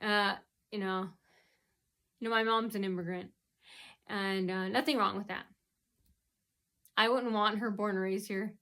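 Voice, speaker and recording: A woman's voice: medium pitch, fairly even intonation, a little slow, long pauses, sharp tone. A woman from the United States talking in a rambling casual monologue, full of ums and uhs and constantly circling back with "so anyway.". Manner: meandering, keeps restarting with "so anyway"; heavy fillers, um and uh. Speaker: vlogger.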